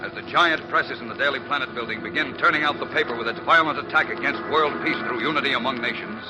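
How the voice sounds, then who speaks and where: A man's voice: medium pitch, fast, close to an everyday voice, rough recording. A man narrating a 1940s radio serial in a grave, suspenseful, theatrical way. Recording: old radio broadcast under loud music.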